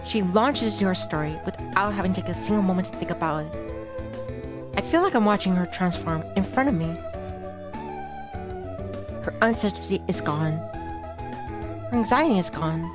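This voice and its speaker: A woman's voice: mid-range voice, wildly swooping pitch, moderate pace, pauses often. A woman playing a self-doubting, observant young heroine, narrating a visual novel in a glum, confessional first person. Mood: quiet admiration. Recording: music clearly behind the voice.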